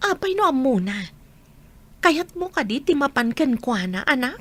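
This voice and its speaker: A woman's voice: sits high, very animated, normal speed. A woman playing a hurt, guarded young mother in an Ilocano audio drama, reserved in manner. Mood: uneasy, bitter.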